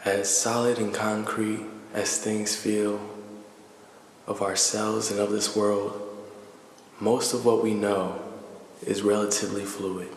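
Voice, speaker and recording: A man leading a guided meditation in a calm, deliberate, soothing manner, pausing between measured phrases. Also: on the low side. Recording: echoey reverberant voice with faint noise.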